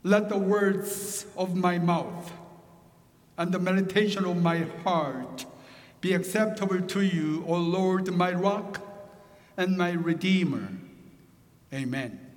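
A middle-aged man, a pastor whose first language is Korean, preaching a sermon with a Korean accent, slow and deliberate with joking asides. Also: sits high, long reverb tail.

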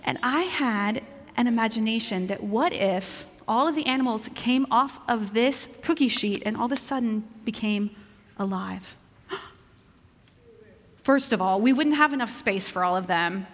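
Higher-voiced woman addressing children, reverberant church. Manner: gentle, simple phrasing with pauses for children. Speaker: church leader giving children's moment. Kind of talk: simple informal talk to young children. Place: large indoor church.

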